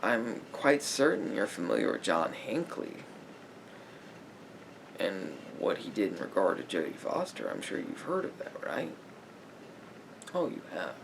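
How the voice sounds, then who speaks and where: Soft-spoken ASMR roleplay with a man acting as a patient, probing male therapist. His low, gravelly voice moves very slowly and haltingly, sinking at times into breathy murmurs. There is steady room noise behind him. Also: heavily acted, rough recording. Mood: calm, counselling. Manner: halting, deliberate phrasing with pauses and reflective murmurs.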